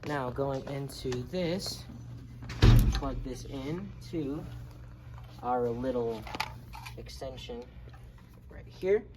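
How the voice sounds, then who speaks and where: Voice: medium pitch, drawn-out words, pauses often. A person giving a casual, step-by-step, hands-on tutorial as an instructor. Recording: noisy amateur video recording, background noise.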